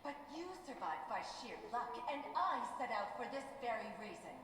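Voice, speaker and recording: An actress playing an imperious game envoy who passes solemn judgment in a formal, commanding, ceremonial manner. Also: female voice, fairly high pitch, big pitch swings, moderate pace, slight background noise. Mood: stern, commanding. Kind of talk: dramatic video game voice acting. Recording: cavernous reverb, distant, loud game music.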